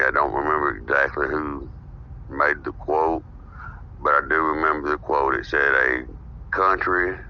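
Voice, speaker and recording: A man with a very deep voice talks politics as a podcast guest. He explains his views earnestly and steadily. The recording sounds dull and lo-fi. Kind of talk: casual political discussion. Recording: dull lo-fi audio.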